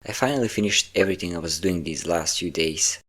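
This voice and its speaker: A man acts out a centuries-old male vampire with a low-pitched voice, speaking intimately to the one he holds captive. He is possessive yet doting, coaxing with teasing endearments in an audio roleplay. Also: brisk, a bit bright. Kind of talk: intimate scripted audio roleplay addressed to a listener.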